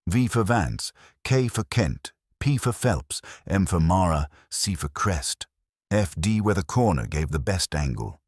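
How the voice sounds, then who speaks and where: A man's voice: sits low, very animated, a little slow, a little mellow, no room sound, clean studio sound. A man giving a scripted first-person narration of a detective story. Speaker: audiobook narrator.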